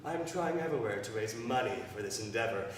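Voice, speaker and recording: A man's voice: fairly low pitch, expressive, fast, natural voice. A man acting an earnest, lovesick young son in a classical stage comedy, florid and declamatory about his grievances. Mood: indignant, determined. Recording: very echoey hall, distant mic, hiss.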